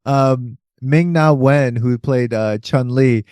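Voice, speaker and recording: A man co-hosting a comedy podcast, excitedly rambling through trivia and restarting his sentences. His voice sits at an everyday middle pitch. It is recorded close and dry, with no room sound. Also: slow, smooth, dry recording. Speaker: podcast co-host. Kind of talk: casual comedic movie chat.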